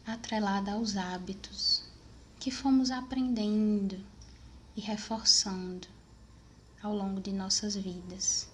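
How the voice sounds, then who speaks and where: Slow-speaking Brazilian woman guiding meditation. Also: medium pitch, a little hiss or hum. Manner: unhurried, measured phrasing with pauses. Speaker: meditation guide. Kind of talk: guided meditation narration.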